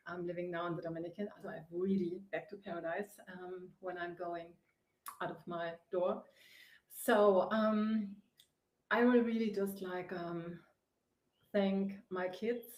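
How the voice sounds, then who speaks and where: A woman from Germany with a German accent, hosting her own inspirational live stream from a sofa, speaking earnestly and often pausing to search for words. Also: mid-range voice, darkish tone. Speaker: host, face yoga founder. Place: sitting on a sofa. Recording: echoey room.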